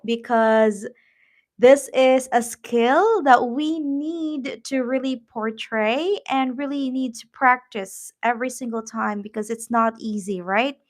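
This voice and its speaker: Filipino woman, livestream host, slightly high voice, slow and encouraging with frequent fillers. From Philippines. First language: Tagalog. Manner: warm, encouraging, reads viewer comments, many "um" fillers. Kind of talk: casual live-stream chat.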